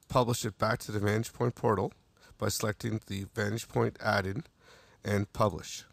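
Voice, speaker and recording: Gravelly low man narrating a software tutorial. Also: dry recording. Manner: steady step-by-step instruction with small restarts.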